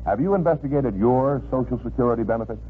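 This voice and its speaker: A man's voice: mid-range voice, quick pace. An American man reading a scripted public service announcement as a radio announcer. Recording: muffled vintage radio recording, faint hiss.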